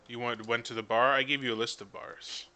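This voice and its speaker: A man's voice: mid-range voice, level tone, brisk, pushed and bright, soft background music. A man casually narrating and refereeing a tabletop game as game master.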